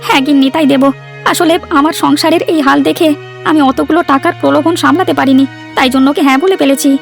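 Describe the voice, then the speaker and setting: Timid cartoon village husband, played by a woman, high-pitched, gravelly, stammering, pleading. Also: steady tone, fast talker, faint music behind. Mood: sheepish, afraid. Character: timid, guilty village man, hired henchman and henpecked husband. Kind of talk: cartoon story voice acting.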